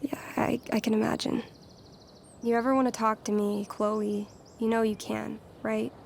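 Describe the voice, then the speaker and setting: Creaky-voiced, friendly teenage girl. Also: fairly high pitch, little pitch movement, normal speed, darkish tone, slight background noise. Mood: supportive, playful. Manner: chatty, earnest, casual. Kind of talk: naturalistic video game acting.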